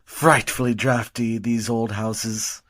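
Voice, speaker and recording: A man playing a fussy, excitable butler in a comic murder mystery audio drama, theatrical, formally polite and flustered. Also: medium pitch, slow pace. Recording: music bed under dialogue.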